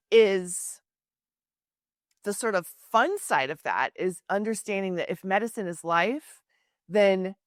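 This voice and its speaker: A woman's voice: mid-range voice, a bit bright, no room sound, clean studio sound. A woman hosting a podcast interview, talking in an earnest, emphatic and reflective way. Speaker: podcast host. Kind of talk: conversational interview.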